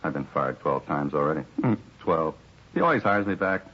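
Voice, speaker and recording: A put-upon American bar worker grumbles in a low, rough-edged voice. He rambles in a plainspoken, resigned way, in 1950s radio drama style, on a dull old recording. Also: lively intonation, normal speed. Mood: wry, resigned. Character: weary, put-upon male bar employee, grumbling witness.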